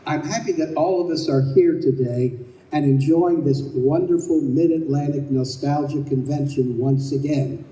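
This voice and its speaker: A man's voice: on the high side, normal speed, dark tone. A man giving a prepared introductory speech to an audience as the playwright and director of a show. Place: convention room, live on stage. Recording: roomy convention hall, distant microphone.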